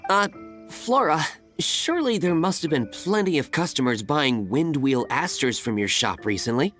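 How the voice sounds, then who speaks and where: A woman's voice: sits low, very animated, normal speed, a bit bright, faint music behind. A woman voicing an opinionated, pedantic fruit stall vendor in a video game, emphatic and pompous. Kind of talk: video game character acting.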